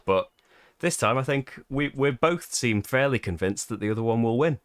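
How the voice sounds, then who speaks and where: A man's voice: medium pitch, animated, normal speed, no room sound, studio quality. A British man hosting a live board game playthrough, chatting casually and laughing often at his own expense. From Britain. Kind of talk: casual live-stream chat.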